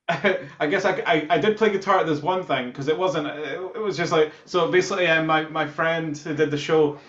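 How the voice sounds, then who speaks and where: A man's voice: fairly high pitch, fairly even intonation, moderate pace, fairly bright tone. A Scottish man who plays guitar in a band, chatting casually and telling anecdotes as an interview guest. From Scotland. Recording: muffled, roomy video-call sound.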